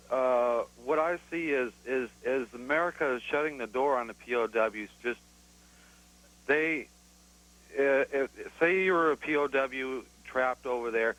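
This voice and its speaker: A man calling in to a TV show, speaking haltingly with many fillers. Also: mid-range voice, a bit bright, low-quality recording. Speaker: caller.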